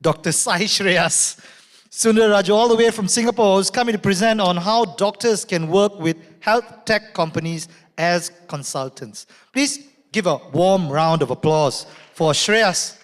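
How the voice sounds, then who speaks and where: A man working as a conference emcee warmly and playfully introduces the next speaker on stage. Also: high-pitched, a little slow. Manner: warm, upbeat, playful. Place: large conference hall. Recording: reverberant conference hall.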